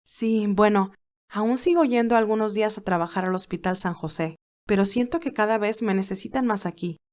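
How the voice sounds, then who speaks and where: A woman's voice: mid-range voice, darkish tone, natural voice. A woman voicing a modest, kind, easily flustered young nurse in an audio fan drama. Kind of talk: acted audio fan fiction dialogue.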